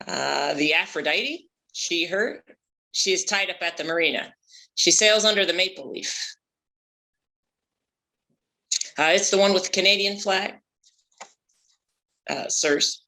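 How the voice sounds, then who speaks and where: Man playing a pompous, bright-voiced shark hunter. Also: high-pitched, measured pace, vocal fry, exaggerated voice. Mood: matter-of-fact. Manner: pompous, grandiose. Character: vain, boastful male shark hunter and former pirate. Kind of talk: comedy musical table read.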